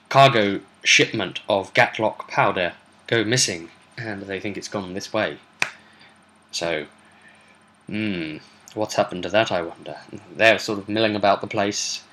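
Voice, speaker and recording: A British man hosting a casual, rambling recap of his tabletop role-play sessions in a chatty, animated manner. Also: lowish voice. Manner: animated, chatty, acts out brief quotes. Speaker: host and game master recapping sessions.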